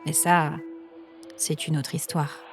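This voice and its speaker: A woman narrating a scripted supernatural story as a podcast host, in a measured, literary and suspenseful storytelling manner. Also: low-pitched, flat delivery, faint music behind.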